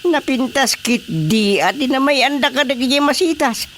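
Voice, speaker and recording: A woman's voice: high voice, lively intonation, normal speed, a bit bright, slight background noise. A woman with an Ilocano Filipino accent playing an easygoing, amused, placating village chairman in a radio drama comedy.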